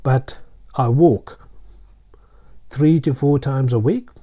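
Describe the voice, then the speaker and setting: A Sri Lankan man teaching English in a relaxed, talky way, speaking to learners directly and encouraging them. His voice is deeply dark and mellow, at an ordinary pitch for a man. He restates his points over and over and fills pauses with "uh" and "um". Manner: repeats and restates phrases, frequent fillers. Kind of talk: casual spoken lesson.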